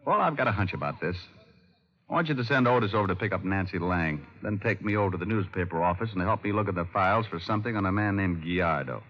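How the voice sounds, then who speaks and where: An actor playing a terse, world-weary private detective in an old-time radio crime drama. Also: male voice, low-pitched, animated, quick pace, slight background noise. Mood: weary, intent. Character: hard-boiled male private detective narrating his own story. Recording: muffled old radio recording with music.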